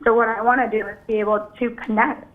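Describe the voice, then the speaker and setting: A woman's voice: a little high, little pitch movement. Nervous young woman calling in by phone. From Venezuela. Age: 20s. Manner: nervous, apologetic, halting. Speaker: caller. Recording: tinny phone line.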